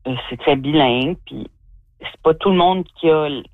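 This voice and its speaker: A woman's voice: low voice, normal speed, no room sound. A woman from New Brunswick, a comedian chatting effusively in an interview, full of fillers. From New Brunswick, Canada. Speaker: comedian and guest. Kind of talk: interview answers. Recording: tinny phone-like line.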